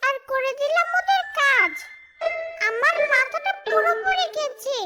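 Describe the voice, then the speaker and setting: A woman's voice: very high voice, animated, measured pace. A woman voicing a grumpy, forgetful old female ghost who mutters schemes to herself in a cartoon, with music behind her. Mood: exasperated. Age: older. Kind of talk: cartoon horror-comedy acting.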